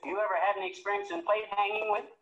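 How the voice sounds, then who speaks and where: An actor playing a brash, folksy, wisecracking husband in 1940s radio comedy, slangy and full of bluster. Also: male voice, high voice, completely flat delivery, very fast, a bit bright. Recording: very noisy, muffled, echoey old radio.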